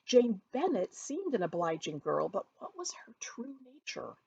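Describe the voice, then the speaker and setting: Lively, slightly husky woman storyteller. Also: mid-range voice, a little slow, highs cut off. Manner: dramatic, emphatic phrasing. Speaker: author reading from their own novel. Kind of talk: expressive storybook narration, read aloud.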